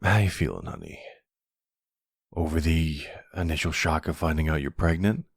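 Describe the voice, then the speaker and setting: A suave, middle-aged mafia boss played by a man in an audio roleplay. The voice is deep and gravelly, close and dry, with a tender, reassuring way of soothing a loved one. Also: very animated, normal speed, no echo, heavily acted. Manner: tender, soothing, smooth-talking. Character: suave older mafia boss, devoted husband and father. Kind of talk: intimate ASMR boyfriend roleplay acting.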